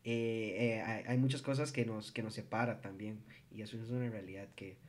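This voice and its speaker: A man's voice: on the low side, fairly even intonation, moderate pace, a little mellow. A young man with a Costa Rican accent hosting a casual group chat, laid-back and slangy with lots of filler words. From Costa Rica.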